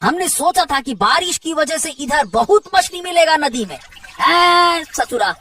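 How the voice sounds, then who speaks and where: A woman voicing an excitable, earnest young village fisherman in a Hindi cartoon, exclaiming and repeating words urgently. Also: high voice, measured pace, recorded from a distance. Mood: grumbling. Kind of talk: Hindi cartoon moral story acting.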